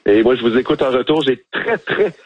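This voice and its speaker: Husky Quebec man, playful radio chat. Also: mid-range voice, a little fast, no room sound, muffled recording. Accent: Quebec French. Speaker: radio host, phone-in guest. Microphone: on the telephone.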